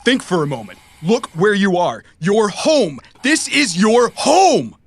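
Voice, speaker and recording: A man's voice: high-pitched, very animated, slow, full character voice. A man playing an exasperated, protective mercenary runner, urgent and pleading in a cyberpunk audio drama.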